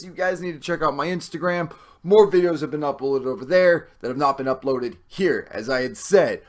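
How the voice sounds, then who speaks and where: A hoarse man hosting his own video channel, talking in a rambling, excitable way full of swearing. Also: fairly high pitch. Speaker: host. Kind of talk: casual video rant.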